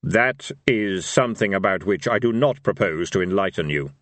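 Audiobook role: Death, a cryptic otherworldly reaper, low, slow, solemn and dry-recorded. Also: male voice, lively intonation, a bit bright, no room sound. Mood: solemn, detached. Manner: slow, grave, formal. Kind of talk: audiobook character voice.

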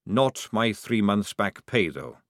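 A man voicing a gruff, sardonic old army sergeant in an audiobook, with a barking military manner. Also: on the low side, expressive, slow pace, dry recording, clean studio sound. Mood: sardonic shock. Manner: barking, sarcastic military speech.

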